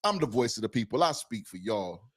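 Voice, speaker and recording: A man's voice: fairly low pitch, sing-song, brisk, breathy edge. A man co-hosting a podcast in a loose, casual way, often repeating and restarting his phrases. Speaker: podcast co-host.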